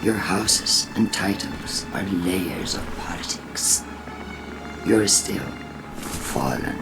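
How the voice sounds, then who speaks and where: A haughty female faction leader in a game cutscene, cold and disdainful. Speech is measured and slow with wide swings in pitch, over loud music. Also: animated, exaggerated voice. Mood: cold, accusing. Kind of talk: video game cutscene acting.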